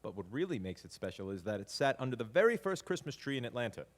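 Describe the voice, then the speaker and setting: Actor as genial theatrical dad, animated, echoing hall. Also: male voice, medium pitch, quick pace, natural voice. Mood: coaxing, genial. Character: genial, fumbling storytelling father at Christmas. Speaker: father and narrator. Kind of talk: live stage audio drama with narration. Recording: live hall recording with long reverb.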